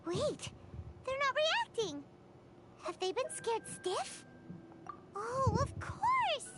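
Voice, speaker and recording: A woman voicing a tiny, chatty, excitable fairy-like companion girl in a video game. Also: very high-pitched, very noisy, exaggerated voice. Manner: excitable, exclaiming, quick reactions. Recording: game audio with loud music behind.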